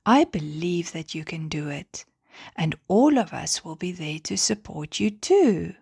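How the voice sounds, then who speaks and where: A woman voicing a kind, playful female star who gives gentle encouragement in a children's bedtime story. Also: fairly low pitch, lively intonation, measured pace, gravelly, breathy edge, no echo, studio quality, heavily acted. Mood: reassuring. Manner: reassuring.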